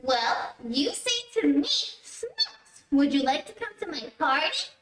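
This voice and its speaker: A woman's voice: wildly swooping pitch, takes it slowly, heavily acted. A woman playing a mischievous, whining little girl with a childlike, wheedling voice in old radio comedy style. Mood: coaxing, sly. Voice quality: high childlike voice. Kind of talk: golden age radio comedy acting. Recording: echoey room, distant mic, faint noise.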